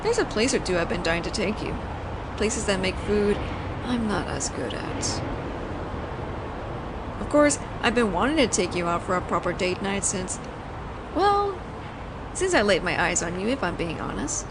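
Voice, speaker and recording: A woman's voice: mid-range voice, heavy background noise. A woman voicing a flirtatious, doting mafia boss in an intimate roleplay audio drama, teasing and considerate as she talks to her lover. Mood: flirtatious, assured, then earnest, tender. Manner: soft-spoken flirting, teasing, pauses as if hearing replies.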